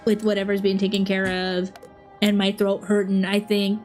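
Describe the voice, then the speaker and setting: A woman's voice: mid-range voice, completely flat delivery, moderate pace, soft background music. A sick, sniffly young woman rambling and giggling in casual livestream chat at home. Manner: rambling, giggly, self-interrupting. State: sick with a cold. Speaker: streamer.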